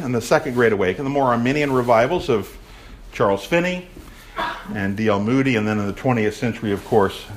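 A man's voice: mid-range voice, a little hiss or hum. A man giving a lecture on church history to an audience in a room. He projects his voice and puts questions to the listeners. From raised in a Baptist family.

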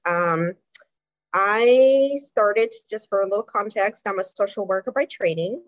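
A middle-aged woman from Kazakhstan who speaks English as a second language, telling her career story on a panel with frequent pauses and ums. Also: mid-range voice, no room sound. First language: not English. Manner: thoughtful, many um pauses. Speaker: health plan president. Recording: dry, dull lo-fi sound.

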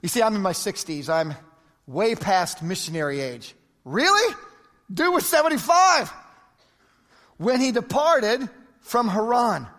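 A man's voice: sits high, a bit bright. A man preaching a sermon, emphatic and repeating phrases for effect, speaking in a large church hall. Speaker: pastor. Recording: long hall reverb.

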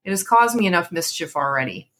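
A woman voicing a solemn, weathered male sergeant major in a storybook reading, gruff and slow of speech. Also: lowish voice, expressive, a bit bright. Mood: slow, reluctant.

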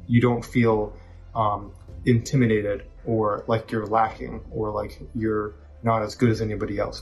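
A man giving an earnest testimonial about an event, with some filler words. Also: medium pitch.

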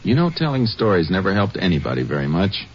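An actor playing a steady, fatherly Texas Ranger with an American accent in a 1950s radio drama, plainspoken and calmly authoritative. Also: sits low, quick pace. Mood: gentle, probing. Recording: muffled, noisy old radio recording.

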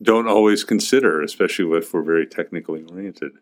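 Deep-voiced male podcast host. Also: fast. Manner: easygoing, leading questions, frequent fillers. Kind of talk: interviewing a guest.

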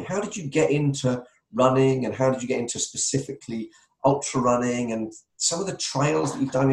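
A man's voice: mid-range voice, a little mellow. A man hosting a podcast, asking questions in an enthusiastic, friendly interviewing manner. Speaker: podcast host. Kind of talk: casual interview chat. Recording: roomy echoey sound.

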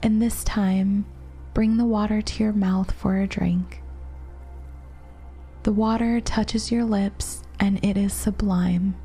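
A woman narrating a guided relaxation meditation in a soft, gentle, soothing manner with long pauses. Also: medium pitch, steady tone. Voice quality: soft, breathy. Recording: meditation music behind the voice.

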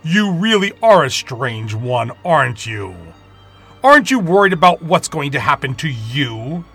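Dude doing a smug gate guardian spirit, slow and gravelly, chuckly storybook creature. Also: male voice, on the high side, very animated, fairly bright tone, soft background music, exaggerated voice. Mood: amused. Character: amused, mocking gatekeeper spirit, Guardian of the Gate.

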